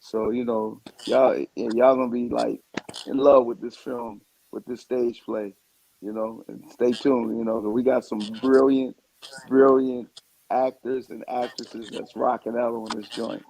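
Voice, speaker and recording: Gravelly man from New York, loose talk. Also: medium pitch, level tone, measured pace, mellow, poor audio. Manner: loose, hesitant, slangy. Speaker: stage actor. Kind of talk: casual interview talk.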